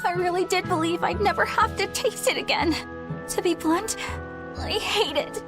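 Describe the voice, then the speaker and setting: A woman's voice: very high-pitched, normal speed, over music. An actress playing a prim, principled young woman warrior in a video game, formal and easily exasperated. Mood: disgusted. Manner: proper, formal, indignant. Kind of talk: English video game voice acting.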